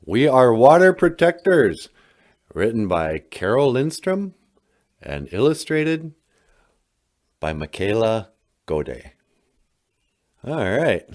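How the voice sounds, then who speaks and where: A man's voice: medium pitch, sing-song, takes it slowly, pauses often, a little mellow. Man putting on a hearty, swooping storytelling show host. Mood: cheerful, excited. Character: Nanaboozhoo, cheerful storytelling trickster host character. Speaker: children's book show host. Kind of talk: children's show presenting and picture-book reading.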